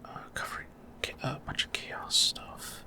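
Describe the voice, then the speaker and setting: A man whispering casual, rambling gameplay commentary in a hesitant, breathy ASMR style. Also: unhurried. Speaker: gaming video creator.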